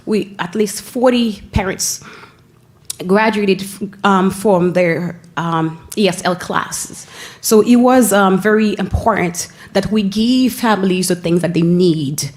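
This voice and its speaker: A woman from Haiti with a Haitian accent, giving an earnest presentation at a school board meeting, often pausing and restarting her phrases. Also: mid-range voice. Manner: earnest, with frequent pauses and restarts. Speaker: school family engagement staff member.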